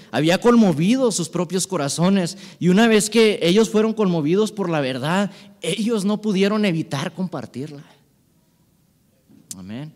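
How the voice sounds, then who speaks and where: Male preacher, higher-pitched, informal conversational sermon delivery, recorded with long hall reverb. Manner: conversational, frequent rhetorical tag questions.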